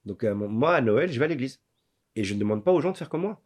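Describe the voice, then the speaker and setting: A man's voice: mid-range voice, expressive, fast, a little mellow. A man in his forties, a playwright being interviewed, talking reflectively and thinking aloud with frequent restarts. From Belgium. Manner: reflective, many restarts and fillers, builds lists. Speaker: guest, playwright. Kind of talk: candid podcast interview.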